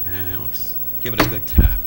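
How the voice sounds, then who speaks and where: Lower-pitched male host, chatty. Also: steady tone, normal speed, vocal fry, rough recording. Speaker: interview host. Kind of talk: casual conversational interviewing.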